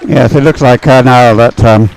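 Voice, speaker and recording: A man giving live, unscripted sports commentary in a halting way, thinking aloud as he watches. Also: medium pitch, gravelly, a little mellow, low-quality recording.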